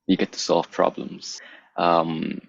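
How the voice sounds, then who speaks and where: A man in his thirties from Singapore, co-hosting a casual podcast chat, playful and quick to laugh as he asks questions. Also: low-pitched, little pitch movement, creaky, breathy edge, dull audio. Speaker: podcast co-host.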